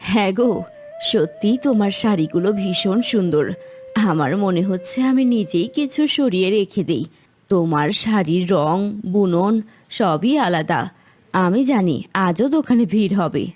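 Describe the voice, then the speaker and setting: A woman voicing a supportive wife and caring mother, gentle and encouraging, in an animated moral story. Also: fairly low pitch, normal speed, a little hiss or hum, natural voice. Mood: admiring.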